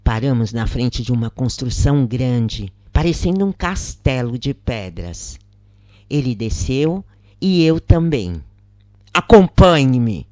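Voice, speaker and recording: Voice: medium pitch, mellow, no room sound, clean studio sound. A narrator reading a scripted first-person story.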